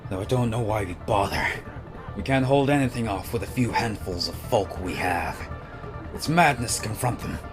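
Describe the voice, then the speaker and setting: A man's voice: lowish voice, moderate pace, over music, lightly acted. A man voicing a proud, skeptical male ninja master in a fantasy story, speaking curtly, sharply and grudgingly. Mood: sharp, dismissive. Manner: curt, sharp, grudging, carefully worded.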